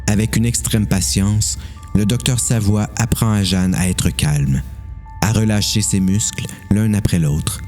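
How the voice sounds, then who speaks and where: A man's voice: lowish voice, dark tone. A man narrating a scripted story for an amateur audio drama.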